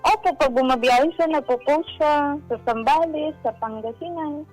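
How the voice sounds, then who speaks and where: A woman's voice: high voice, moderate pace, darkish tone, soft background music, low-quality recording. A woman with a Filipino accent speaking politely as a caller, confiding about her personal life. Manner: polite and deferential in address. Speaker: caller seeking advice.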